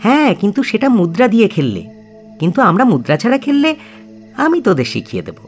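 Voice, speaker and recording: Clever little monk kid, eager, swoopy pitch, radio play. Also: female voice, wildly swooping pitch, fairly quick, faint music behind. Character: quiet, clever, small boy novice monk.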